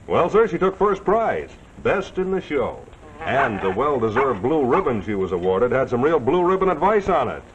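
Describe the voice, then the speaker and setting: An American man announces in a folksy, salesmanlike 1940s television style. His mid-pitched voice is highly animated and jovial. The old soundtrack is dull, with faint noise underneath. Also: normal speed.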